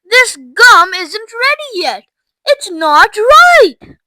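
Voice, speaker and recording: A woman's voice: high-pitched, a little slow, bright, forceful tone, no room sound, pitched above the performer's own voice, low-quality recording. A woman voicing a fretful male candy inventor in a storybook, pleading and exclaiming. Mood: alarmed.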